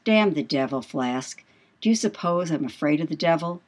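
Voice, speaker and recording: Woman playing a brash, low-voiced whaling mate. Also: expressive, normal speed. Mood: defiant, boastful. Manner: salty colloquial swagger, emphatic rhetorical questions. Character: brash, boastful male whaling mate telling devil yarns. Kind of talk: dramatised audiobook dialogue.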